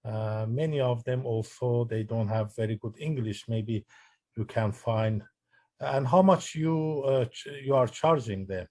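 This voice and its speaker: A man hosting a community meeting, asking questions with halting, filler-heavy speech. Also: mid-range voice, darkish tone, no echo. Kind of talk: Q&A moderation.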